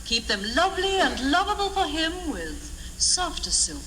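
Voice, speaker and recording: Female 1950s-style commercial reader, high and very melodic, faint hiss, reverberant playback. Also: sing-song, moderate pace, a bit bright. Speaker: radio advert reader. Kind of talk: old-time scripted radio commercial. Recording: hissy old radio recording, hall reverb.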